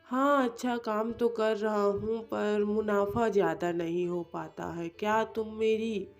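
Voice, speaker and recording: Lady playing a clever friendly young veggie seller guy, cartoon story, takes it real slow, music playing. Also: mid-range voice, fairly even intonation, lightly acted. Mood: earnest, persuasive. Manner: friendly, earnest, persuasive. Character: cheerful, clever, kindly young male vegetable seller.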